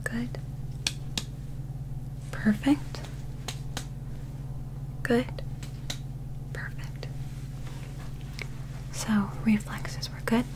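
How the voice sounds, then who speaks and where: Young woman doing whispery asmr exam roleplay, soft n gentle, slow and soothing. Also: a little high, fairly even intonation, rough recording. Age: young adult. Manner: gentle, soothing, unhurried, reassuring. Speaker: ASMR roleplayer playing a medical examiner.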